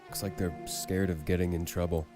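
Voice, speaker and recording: A friendly male visual novel character acted out by a man, pitched low and relaxed in temper. He comes across as a helpful, easygoing newcomer, with music playing clearly underneath. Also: a little fast, lightly acted. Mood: easygoing, curious.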